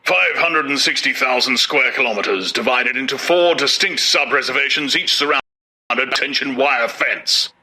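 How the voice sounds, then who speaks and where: A male narrator playing a pompous older official who booms out facts and unasked-for advice. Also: normal speed, a crowd in the background, lightly acted, low-quality recording. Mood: lecturing. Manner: booming, lecturing, self-satisfied.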